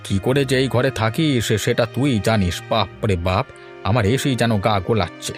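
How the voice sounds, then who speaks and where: A man's voice: mid-range voice, soft background music, close to an everyday voice. A man voicing a snobbish middle-aged father in a cartoon, brusque and grumbling, with disdain for the poor. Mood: disdainful. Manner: brusque, sneering, quick to grumble. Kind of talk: Bengali cartoon voice acting.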